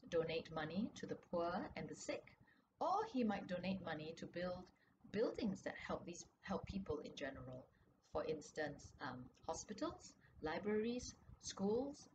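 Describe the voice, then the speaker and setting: A woman reads and explains a storybook for young listeners in an expressive, explanatory manner. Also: lowish voice, unhurried, dull audio. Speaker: author reading her children's book. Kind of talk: children's storybook reading and explanation. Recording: clearly noisy background ambience.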